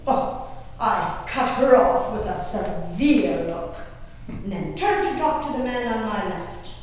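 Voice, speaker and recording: A woman's voice: medium pitch, expressive, darkish tone. Actress as haughty genteel colonial lady, stage diary monologue, swooping intonation, prim formal diction, very echoey distant recording. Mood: indignant, disdainful. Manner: prim, emphatic, savoring pauses, old-fashioned formal diction. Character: genteel, spirited, haughty English colonial gentlewoman diarist. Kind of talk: theatrical dramatic diary reading on stage. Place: indoor hall, live performance recorded from a distance. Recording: roomy echoing hall, distant mic, faint noise.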